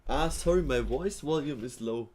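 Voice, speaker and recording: A man's voice: mid-range voice, moderate pace, darkish tone. A man hosting a live trading stream, chatting casually with viewers and thinking aloud with fillers and easy laughs.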